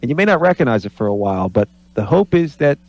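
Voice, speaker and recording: A man's voice: medium pitch, fast talker, no room sound, lo-fi sound. Forty-something man hosting sports radio. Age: 40s. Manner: animated, thinks aloud with many restarts. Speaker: radio co-host, college basketball analyst. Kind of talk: casual sports radio talk. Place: radio studio.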